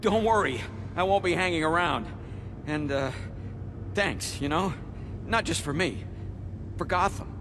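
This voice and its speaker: A man playing an excitable, earnest and grateful company employee rescued from danger in a video game. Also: a little high, a little slow, noisy background, lightly acted.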